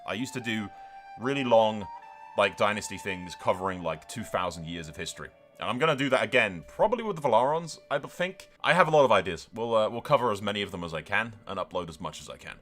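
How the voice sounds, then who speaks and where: Low, bright-voiced man doing game commentary. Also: animated, brisk, soft background music. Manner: sweary, blokey, addresses viewers as lads. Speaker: gaming YouTuber. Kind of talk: casual gameplay commentary.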